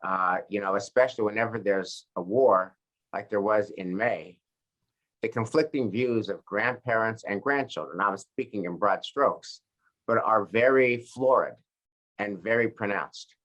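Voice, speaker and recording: A man leading a study group as rabbi, talking in a thoughtful, conversational way with frequent pauses and restarts. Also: on the low side, a bit bright, highs cut off. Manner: thoughtful, many fillers and restarts. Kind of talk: conversational teaching discussion.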